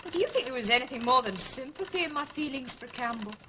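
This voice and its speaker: An actress playing a proud, indignant wronged wife in a radio drama, with a clipped, theatrical upper-class English accent. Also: female voice, high voice, expressive, fast, pushed and bright, slight background noise. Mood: wounded pride.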